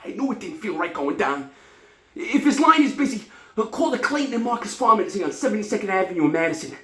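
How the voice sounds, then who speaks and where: A man plays a neurotic hypochondriac in a comic stage monologue, frantic and gasping. The voice is bright and pushed high, echoing in a roomy space. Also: very animated, fast talker. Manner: panicky, gasping, exclamatory. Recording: roomy, echoing solo room recording.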